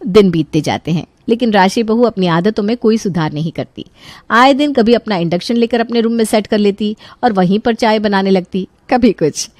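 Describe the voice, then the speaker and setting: A woman's voice: medium pitch, moderate pace, a little mellow, no room sound. Woman narrating a story, dry sound.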